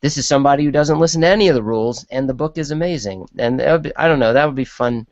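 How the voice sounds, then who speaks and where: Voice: medium pitch, very fast, a little mellow, no echo. A podcast panelist talks casually, thinking aloud in a thoughtful way. The sound is very dry and a little dull. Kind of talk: casual discussion.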